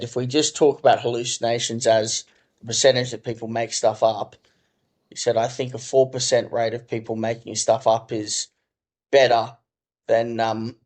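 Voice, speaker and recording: A male executive guest speaks in a steady, flat-toned voice at a middle pitch. He talks measured and reflective, hedging his points with "I think" as he explains. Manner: measured and thoughtful, many hedges like "I think". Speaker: company co-founder and CEO, podcast guest. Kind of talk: conversational interview answers.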